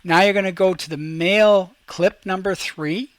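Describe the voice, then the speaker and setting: A man with a fairly high voice leads a step-by-step software lesson. He explains each action aloud as he does it, in an instructional manner, and the sound is dry and close. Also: fairly even intonation, moderate pace, no echo. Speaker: teacher and tutorial host. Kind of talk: screen-recorded software tutorial, step-by-step instruction.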